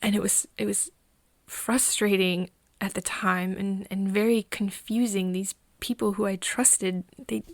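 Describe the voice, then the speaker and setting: Actress as wistful confiding woman, ASMR roleplay, hushed and whispery, halting, even pitch, very dry close recording. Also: no echo, natural voice. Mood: hurt, frustrated. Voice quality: soft, breathy, often whispery. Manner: hesitant, halting, pausing often. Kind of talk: intimate ASMR roleplay, scripted confession.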